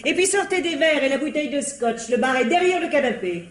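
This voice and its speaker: A society woman with a notably high voice in a radio mystery. She is coquettish and sure of herself, teasing and insisting in polished, imperious phrases. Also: animated, a little fast. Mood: bossy, inviting. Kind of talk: French mystery radio drama acting.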